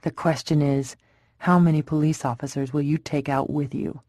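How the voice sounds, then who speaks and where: A woman voicing a male killer who speaks calmly and quietly with menace. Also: sits low, normal speed, no room sound, clean studio sound. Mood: menacing.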